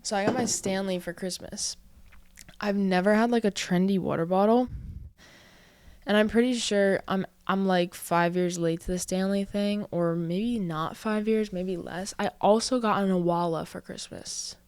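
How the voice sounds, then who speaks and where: A woman's voice: medium pitch, fairly even intonation, normal speed, darkish tone, clear, resonant tone, no room sound. A woman in her early twenties hosting a casual solo podcast, talking in a chatty, self-reflective way full of "like" fillers and a little out of breath. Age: early 20s. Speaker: podcast host.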